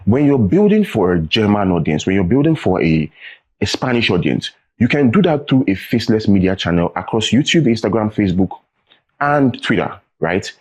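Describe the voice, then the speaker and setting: Nigerian guy, kinda low and husky, earnest explainer, keeps saying right? you know. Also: sing-song, moderate pace, darkish tone. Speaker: tech training founder, guest. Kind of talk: studio interview.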